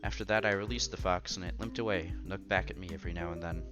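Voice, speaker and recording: A man reading story narration aloud with expressive, theatrical reactions as he hosts a visual novel playthrough. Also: low voice, fast, over loud music.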